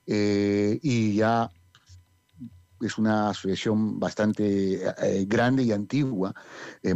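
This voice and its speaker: Peruvian man, theatre director, low dark voice, halting and full of fillers, dull dry interview sound. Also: dry recording. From Peru. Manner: rambling, many hesitations. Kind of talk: interview answers.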